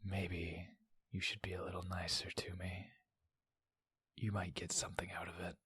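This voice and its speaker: An actor playing a menacing, condescending schemer who taunts slowly and softly, often in a whisper, in an audio drama. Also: male voice, low voice, little pitch movement, slightly rough, heavily acted. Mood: sinister, insinuating. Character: menacing, condescending man, soft and manipulative schemer.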